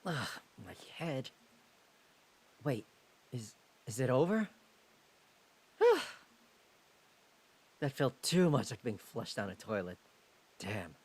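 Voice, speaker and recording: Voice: mid-range voice, sing-song, slow, long pauses, a little mellow, breathy edge, full character voice. A streamer voicing an exasperated young man in a visual novel, dramatic, sweary and bewildered. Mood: pained, dazed.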